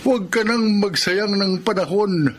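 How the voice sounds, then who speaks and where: High-pitched, fatherly Filipino employer. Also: measured pace. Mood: kindly concern. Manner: gentle, advising. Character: kind, fatherly older male employer. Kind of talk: Filipino radio drama acting. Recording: clearly noisy radio drama track.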